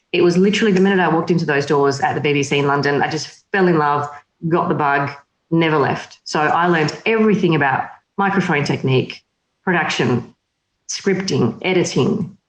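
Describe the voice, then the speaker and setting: Lively, laughing woman in a roomy space. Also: on the low side. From Slovakia. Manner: lively and chatty, laughs often. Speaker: magazine editor, interview guest. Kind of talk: casual interview chat.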